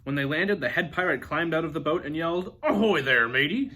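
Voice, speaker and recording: A man reading a children's storybook aloud as an expressive narrator. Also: fairly high pitch, fast. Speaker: storybook reader.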